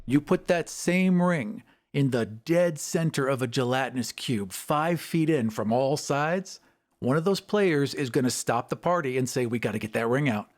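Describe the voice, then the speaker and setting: A man's voice: mid-range voice, lively intonation, normal speed. A man hosting a casual tabletop gaming discussion, talking like a storyteller setting up scenarios. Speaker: podcast host.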